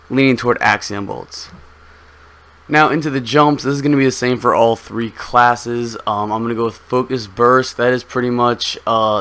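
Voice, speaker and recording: A young man with a slightly hoarse voice, giving relaxed, rambling game commentary full of ums as a gaming video host. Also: medium pitch. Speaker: gaming YouTuber. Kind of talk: casual gameplay commentary.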